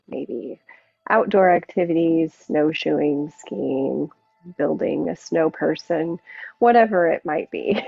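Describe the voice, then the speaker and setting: A woman yoga teacher gently guiding a relaxation practice, unhurried and leaving long pauses between phrases. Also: on the low side, gravelly, dry recording. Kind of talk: guided yoga and relaxation instruction. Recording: muffled narrow phone-like sound.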